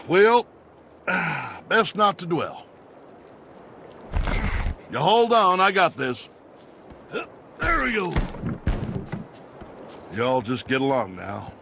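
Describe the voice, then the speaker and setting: A man's voice: a little high, wildly swooping pitch, drawn-out words, gravelly, pauses often, noisy background, exaggerated voice. A man voicing a weary but kindly farmer creature in a video game, with a folksy Southern US drawl. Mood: resigned, kindly. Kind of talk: video game character acting.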